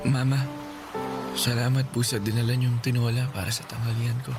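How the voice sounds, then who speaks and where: An actor with a Filipino accent playing a gentle, brave, sickly young son in a radio drama, speaking respectfully and tenderly. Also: male voice, medium pitch, little pitch movement, fairly quick, over music, natural voice. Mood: grateful, light. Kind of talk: Tagalog radio drama acting. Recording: clearly noisy ambient background.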